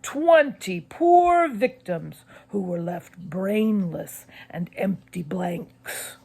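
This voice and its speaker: Casting: theatrical father played by a woman, relishes creepy tales, animated pitch, drawn-out delivery, children's audiobook. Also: gravelly, slightly rough, exaggerated voice. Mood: ominous, relishing. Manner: dramatic, drawn-out storytelling. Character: showman-like dad relishing creepy tales of curiosities.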